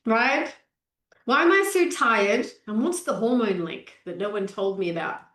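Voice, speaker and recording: An upbeat woman in her forties leads a live online training as a nutrition coach and greets viewers by name. Her voice sits fairly high. The sound carries the echo of a bare room. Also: expressive, slow pace, pauses often, fairly bright tone. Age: 40s.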